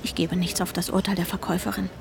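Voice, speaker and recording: A woman's voice: lowish voice, flat delivery, fast, creaky. An actress playing an intense, lovestruck woman, telling her own story intimately and broodingly in a radio drama, at times dropping to a whisper. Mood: wry, confiding. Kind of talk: German radio drama first-person narration. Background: music.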